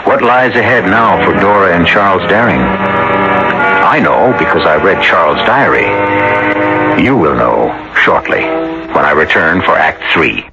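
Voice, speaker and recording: A man hosting a radio drama with scripted narration, ominous, theatrical and deliberate. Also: sits low, big pitch swings, loud music behind the voice. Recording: muffled old radio broadcast.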